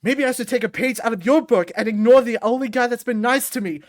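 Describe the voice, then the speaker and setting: A woman voicing a furious, defiant young male fighter in a visual novel, shouting, swearing and confrontational. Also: on the high side, quick pace, dry recording, clean studio sound, lightly acted.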